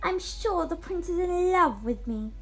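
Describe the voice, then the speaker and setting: A woman's voice: extremely high pitch, expressive, unhurried, faint music behind, voice pushed up, full character voice. A female reader voicing a snobbish, spiteful young stepsister in a storybook, scornful and whiny. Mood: smug. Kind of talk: storybook character voice.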